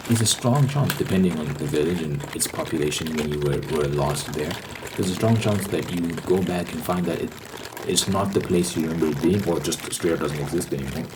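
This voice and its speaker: A man with a low voice talks through his opinions in an unscripted ASMR ramble. He explains step by step and circles back to repeat points for emphasis. There is clear noise behind him. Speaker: host of a rambling ASMR channel.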